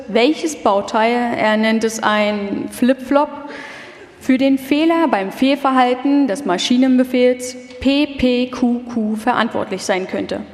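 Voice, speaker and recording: A female narrator with a fairly high voice delivers a scripted documentary live. The sound has the long reverberation of a large hall. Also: a little mellow.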